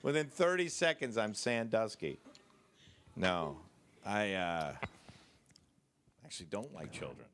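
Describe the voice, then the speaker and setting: A man's voice: lowish voice, lively intonation, slow, pauses often, a bit bright, slight background noise. A man in casual comedic banter, speaking bluntly with swearing and self-deprecation.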